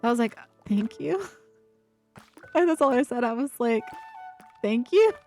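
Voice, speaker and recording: A woman over twenty-five chatting casually on a live stream, with a breathy, giggly laugh and lots of fillers. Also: a little high, animated, takes it slowly, a little mellow, faint music behind.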